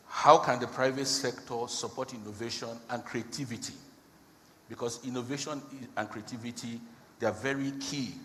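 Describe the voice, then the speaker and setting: A man's voice: mid-range voice, fairly bright tone. A man moderating a conference panel, speaking firmly and setting out his questions one by one. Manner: firm, deliberate, lists questions one by one. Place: large conference hall. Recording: reverberant hall, faint background noise.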